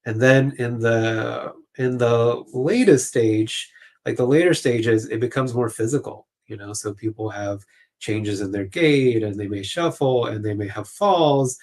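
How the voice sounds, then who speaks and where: A man speaking as a clinician guest, explaining things conversationally in a halting, informal way with lots of filler phrases. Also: mid-range voice, a little mellow. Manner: halting, informal, peppered with "you know" and "like".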